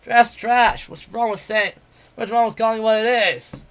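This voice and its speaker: A man voicing a brash, mocking, drunk male wolf-man adventurer, loud and jeering. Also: high voice, fairly even intonation, unhurried, smooth, voice pushed up. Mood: sneering.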